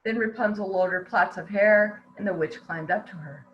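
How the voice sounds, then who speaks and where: A woman reading a fairy tale aloud to children in an expressive storytelling style. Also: mid-range voice, moderate pace, muffled recording. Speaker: volunteer storybook reader.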